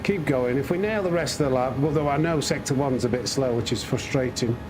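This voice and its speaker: A man's voice: mid-range voice, a little fast. A man giving casual live commentary as he plays a racing game, critiquing his own driving and chatting to viewers. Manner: muttering self-critique, addresses himself and viewers. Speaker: live streamer hosting a sim racing stream. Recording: clear engine noise behind voice. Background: racing game car engine sounds.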